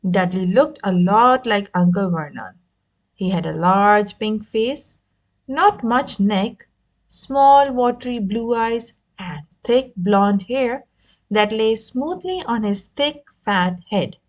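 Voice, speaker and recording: A woman reading a children's novel aloud as an expressive narrator. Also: mid-range voice, slow. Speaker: audiobook reader. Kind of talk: expressive scripted story narration.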